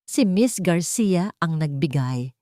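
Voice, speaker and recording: A woman's voice: on the low side, animated, takes it slowly, mellow, no room sound, clean studio sound. A woman with a Filipino accent voicing a frightened, conscience-stricken old retired female hospital worker in a story. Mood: anxious confiding.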